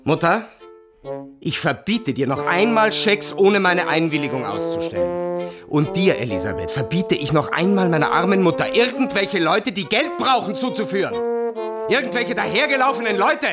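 An actor playing an indignant, bitter grown son in a radio drama, emphatic and commanding. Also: male voice, sits high, lively intonation, moderate pace, over loud music. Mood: angry, commanding.

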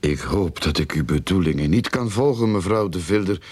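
Deep, husky Flemish detective. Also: male voice, expressive, normal speed, darkish tone, dry recording. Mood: reluctant, firm. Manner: probing, theatrical, self-assured explaining. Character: cocky, sardonic male private detective who theorizes boldly. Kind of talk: radio drama acting.

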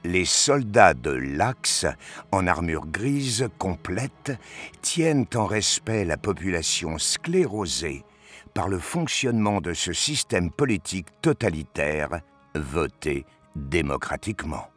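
Really low voiced guy narrating sci-fi, slow, dramatic, soft music underneath. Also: big pitch swings. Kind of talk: scripted science-fiction audio narration.

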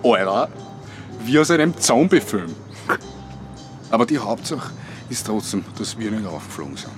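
An actor playing a laconic, casual co-worker with a secret in a radio drama, speaking slangy Austrian-accented dialogue. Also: male voice, on the low side, big pitch swings, normal speed, music in the background. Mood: uneasy. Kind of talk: naturalistic radio drama acting.

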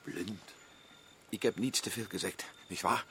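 Male actor: insistent, rattled expedition man, bright tone. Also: sits low, unhurried, slight background noise. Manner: urgent, rising to shouts. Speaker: expedition member Joost. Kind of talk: radio drama acting.

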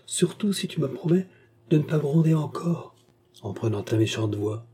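A man voicing a gentle young gentlewoman in a storybook, affectionate and coaxing, with quiet determination. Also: medium pitch, lively intonation, normal speed, pitched above the performer's own voice, natural voice. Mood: tender, coaxing. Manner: caressing, coaxing. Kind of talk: audiobook character dialogue.

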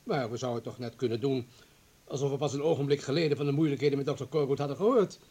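A man's voice: medium pitch, very fast, dark tone, slightly rough. A man acting in a Dutch radio play as a pragmatic, calculating party comrade, urgent and persuasive. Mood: calculating argument.

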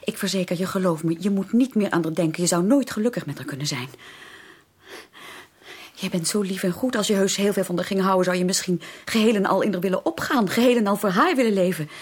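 An actress in a Dutch radio drama playing an earnest, anxious young sister who pleads haltingly and emphatically. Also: female voice, medium pitch, slightly rough. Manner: halting, emphatic, repeats words for stress.

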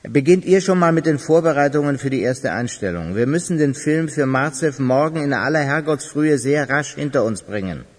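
An actor playing a scheming, insistent suitor who talks his way smoothly along in a radio crime drama. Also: fairly high pitch, expressive, normal speed, few pauses. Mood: tense, commanding. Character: smooth-talking, scheming adult male suitor hiding urgency.

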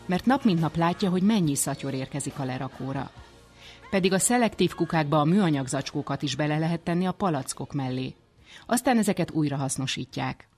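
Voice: medium pitch, a little mellow. A radio presenter reading scripted programme narration.

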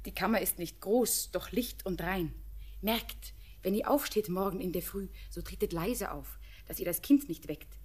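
An actress playing an innocent, tender young village girl in a classic radio drama, speaking lyrical, earnest with hushed phrases. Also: mid-range voice, moderate pace, slightly rough, close to an everyday voice. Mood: gentle, pleading. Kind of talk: classic German radio drama, poetic stage diction.

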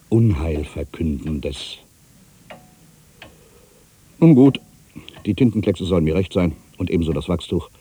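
Deep, husky, brooding ageing writer. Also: male voice, warm tone, no room sound, exaggerated voice. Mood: wry, disdainful. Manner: brooding, self-questioning, swinging from mutters to outbursts. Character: ageing solitary male writer, proud, wry, disillusioned. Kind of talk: literary dramatic monologue, theatrical reading.

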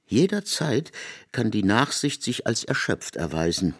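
A man reading literary narration for radio in a measured, articulate way. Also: lowish voice, sing-song, slightly rough.

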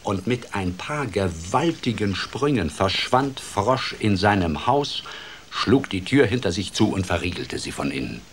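Male storyteller, lowish voice, animated intonation, grandfatherly children's radio-play narration, faint background noise. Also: unhurried. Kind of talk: expressive scripted children's storytelling.

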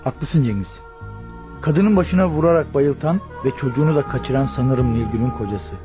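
An actor playing an authoritative senior doctor in a radio drama, speaking in a measured, instructive and probing way. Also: male voice, mid-range voice, highs cut off, over loud music, close to an everyday voice. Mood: calm, probing.

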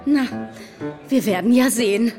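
A woman's voice: a little high, unhurried, over music, natural voice. A woman acting in a radio play as a self-important newly married lady, gushing, affected and chatty. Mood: gushing, smug.

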